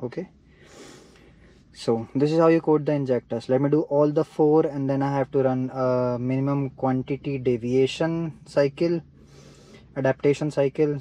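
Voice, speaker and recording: A man's voice: mid-range voice, warm tone, rough recording. A man explaining a car repair step by step while he works inside a parked car. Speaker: DIY car mechanic. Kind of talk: hands-on repair tutorial.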